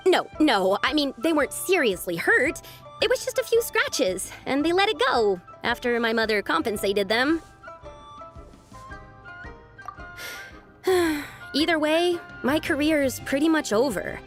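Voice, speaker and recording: Earnest young woman, highish voice. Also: sing-song, normal speed, a bit bright, soft background music. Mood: dejected. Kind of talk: video game voice acting.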